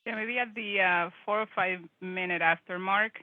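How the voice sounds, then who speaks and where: A woman's voice: medium pitch, steady tone, moderate pace, dry recording. This is a middle-aged woman from the Dominican Republic, joining a live stream as a guest. She talks in a chatty, easygoing way, often restarting her sentences and breaking into laughter. Her voice comes through a thin, phone-like video call line with no room sound. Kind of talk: casual live-stream interview. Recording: tinny phone-like call audio.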